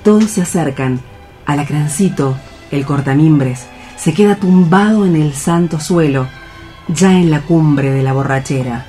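A man's voice: on the high side, measured pace, dark tone. Male radio play narrator over faint music. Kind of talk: scripted radio theatre narration of stage directions.

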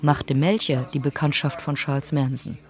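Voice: medium pitch, fairly even intonation, moderate pace. A narrator reading scripted documentary-style narration in a radio play.

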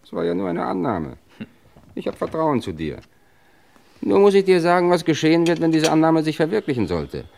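Suave, menacing gang boss, gravelly voice. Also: male voice, on the high side, very animated, darkish tone. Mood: cool menace. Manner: deliberate, suave, condescending. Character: menacing, smug gang boss, coolly threatening his accomplice. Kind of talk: old German radio crime drama acting.

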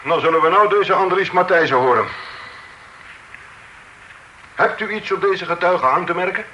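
Stiff magistrate, bright edge, roomy echo on old radio tape. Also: male voice, high-pitched, a little fast, muffled recording, slight background noise. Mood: formal. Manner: stiff, formal courtroom phrasing. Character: formal presiding magistrate. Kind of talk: old radio play acting.

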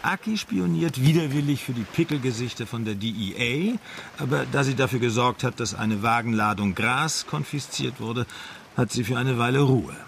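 Casting: man as matter-of-fact detective adjutant, middle-pitched, dryly questioning, radio mystery play, noticeable background noise. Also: moderate pace, lightly acted. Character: Dutch police adjutant, matter-of-fact friend and investigator. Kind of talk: radio crime drama dialogue. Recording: clear background noise under dialogue.

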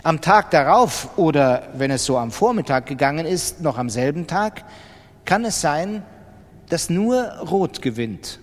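An actor playing an obsessive, self-mocking gambler who confides his brooding thoughts in a literary radio monologue. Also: fairly high pitch. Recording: long reverberant hall sound.

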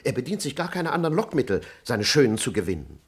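An actor playing a talkative, indignant yet cowardly manservant in a classic comedy radio play, speaking in a theatrical, confiding and pompous way. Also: male voice, medium pitch, very animated, fast talker, slightly rough. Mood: indignant, ranting.

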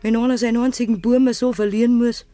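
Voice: mid-range voice, level tone, quick pace, mellow, no room sound, faint music behind, lightly acted. A performer playing a curious, chatty villager with a Bavarian accent, exclaiming and prying in a 1950s radio play. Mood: astonished. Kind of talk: 1950s German dialect radio play acting.

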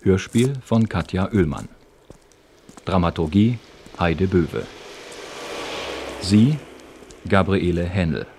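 Low, slow male radio announcer. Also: a little mellow, slight background noise. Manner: formal, measured. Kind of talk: scripted credits announcement.

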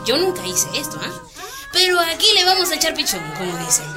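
An actress playing a nervous but eager first-time radio announcer, informal and earnest, in a radio drama. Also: female voice, high-pitched, sing-song, a little fast, crowd noise. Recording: music behind the voice.

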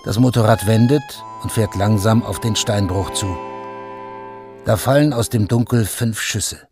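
A man's voice: fairly low pitch, a little slow, music in the background. A man narrating a scripted radio drama in a sober, factual manner, in short plain statements.

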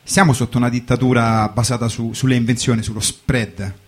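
A man speaking haltingly in an informal panel discussion, searching for his words. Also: mid-range voice, flat delivery.

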